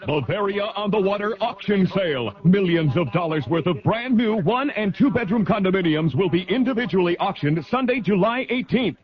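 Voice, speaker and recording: A man's voice: high-pitched, lively intonation, fairly quick, few pauses. A man reading a promotional hard-sell radio commercial as an announcer. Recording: dull old radio commercial recording.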